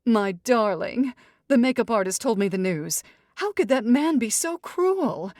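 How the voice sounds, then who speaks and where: A middle-aged mother of the bride, played by a woman narrator, fussy and indignant. She speaks in a raised pitch with haughty, doting theatricality. The audiobook sound is close and dry. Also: expressive, unhurried, darkish tone, no room sound. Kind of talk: audiobook character dialogue.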